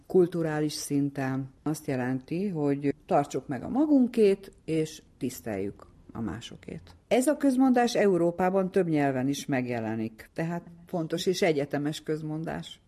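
A woman's voice: sits low, warm tone. A woman explaining things as an expert psychologist guest.

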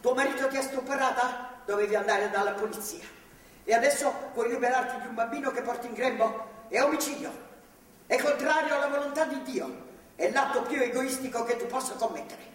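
A woman's voice: fairly high pitch, a little fast, lightly acted. Echoey, distant older woman, devout and admonishing acted. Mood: stern, indignant. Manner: earnest, moralizing, admonishing. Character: devout older grandmotherly woman, stern and righteous captor. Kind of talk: theatrical stage and radio drama acting. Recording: distant, echoey hall, clearly noisy.

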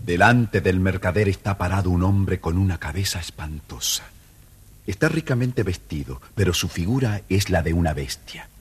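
A man with an Argentine Spanish accent narrating a children's story calmly on an old audio record. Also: low voice, moderate pace, faint music behind. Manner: calm, even storytelling.

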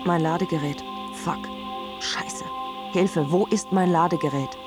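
A fairly high male voice from an actor portrays an overworked businessman whose memory is failing. The radio-play delivery is urgent and repetitive, set over clear music. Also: steady tone, a little slow, natural voice. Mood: frantic. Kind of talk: radio drama, choral narration and inner voice. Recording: music behind the voice.

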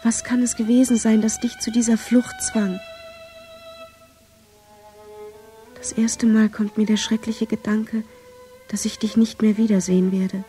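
A heartbroken young woman speaks her love letters in a radio play, performed by an actress. Her voice is very dark and soft, subdued and even, moving slowly with sorrow over faint music. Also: a little high, natural voice. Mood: anxious longing. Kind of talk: radio drama letter monologue.

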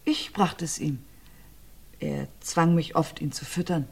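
An actress playing an abused widow being questioned about her husband's death, with hesitant, halting answers that often sink to a near whisper. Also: steady tone, gravelly, breathy edge, heavily acted. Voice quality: low, subdued, often drops to a near whisper. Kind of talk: radio crime play.

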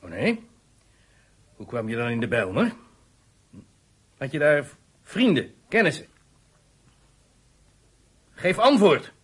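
A man playing a stern, sceptical police interrogator in a Dutch radio play, husky, with clipped, pressing, sarcastic questions. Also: a little high, wildly swooping pitch, slow pace, pauses often, fairly bright tone, exaggerated voice.

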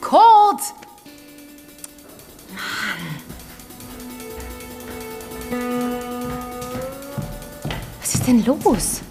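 A woman's voice: fairly high pitch, sing-song, very slow, gravelly, full character voice. An actress playing an earnest, concerned female police detective in a radio drama, persistently questioning. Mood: puzzled, urgent. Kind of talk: German radio drama acting, naturalistic dialogue. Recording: music behind the dialogue.

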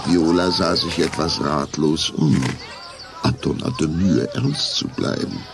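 A man's voice: very deep voice, slow pace, exaggerated voice. A man narrating a scripted children's radio play with suspenseful storytelling.

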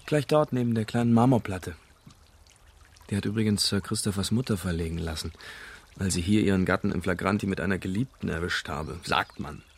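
A man playing a calm, reflective young Englishman giving a witness account in a German radio crime drama. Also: sits low, a little fast, gravelly, slight background noise. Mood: explanatory. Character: young Englishman, dying uncle's observant great-nephew, calmly forthcoming.

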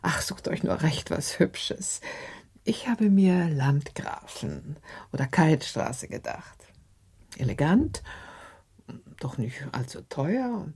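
Radio drama mother, middle-aged: genteel, practical, very husky, low and expressive. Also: slow pace, heavily acted. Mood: chatty, practical. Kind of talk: German literary radio drama acting.

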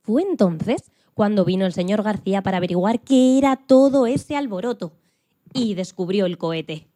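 A woman narrating a children's story for radio in an expressive, dramatic way. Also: mid-range voice, moderate pace, no echo, clean studio sound.

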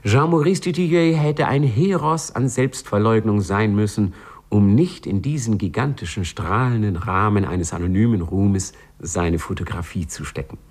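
A man narrating a 1950s radio play in a measured, ironically solemn manner, with a husky voice. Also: on the low side, darkish tone.

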